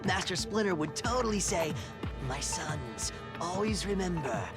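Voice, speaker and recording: Game comic relief, slangy teen turtle: playful, swooping delivery, loud music behind. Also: male voice, high-pitched, animated, measured pace. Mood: playful, teasing. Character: goofy, playful teenage mutant turtle jokester.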